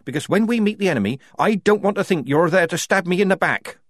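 Male reader playing a tough young woman sergeant-type, snapping orders, raised pitch. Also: expressive, fast talker, no room sound, clean studio sound. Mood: angry. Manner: commanding, snapping. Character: young woman soldier leading a squad, firm and sharp.

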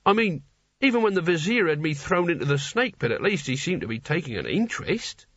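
Guy doing naive teen boy in a fantasy audiobook, high voice, indignant n earnest, bit husky. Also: sing-song, brisk, a bit bright, highs cut off. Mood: nervous, hesitant. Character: naive, earnest, indignant teenage boy, would-be barbarian hero.

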